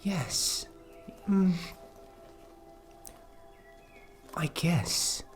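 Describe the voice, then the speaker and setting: A performer voicing a flustered, kindly fairy magic specialist in a fantasy ASMR roleplay, speaking softly and hesitantly, often dropping into a whisper. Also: mid-range voice, creaky, exaggerated voice. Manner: hesitant, halting, repeats words, often whispering.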